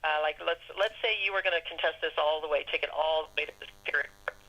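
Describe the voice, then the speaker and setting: A man's voice: fairly high pitch, fast. A man working as an administrative clerk, carefully explaining procedure on a telephone call, correcting his terms as he goes. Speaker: parking citation administration clerk. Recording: bright telephone call with faint noise.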